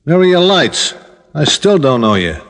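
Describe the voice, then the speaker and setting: An actor playing a menacing gangster in hiding, curt and threatening, in a 1950s radio drama. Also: male voice, on the high side, very animated, moderate pace, a bit bright.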